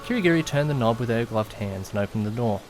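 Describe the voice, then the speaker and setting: Audio drama lead, male actor: nervous, well-meaning young man, mid-pitched, first-person narration, earnest, music underneath. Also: lively intonation, fast talker, natural voice. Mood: earnest, uneasy. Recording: loud music behind the voice. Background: background music.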